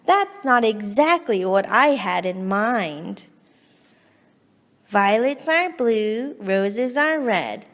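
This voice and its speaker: A woman reading a children's picture book aloud expressively, coaxing and reacting as if chatting with the character. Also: medium pitch. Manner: animated, coaxing, exaggerated reactions. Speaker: children's storybook reader. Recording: long hall-like reverb.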